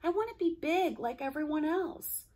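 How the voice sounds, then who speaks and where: A woman's voice: high voice, lively intonation, normal speed, a little mellow, voice pushed up. Woman reading as a wistful little male streetcar. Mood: plaintive. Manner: wistful, plaintive. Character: small, earnest, downhearted young male worker streetcar. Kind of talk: children's storybook character voice.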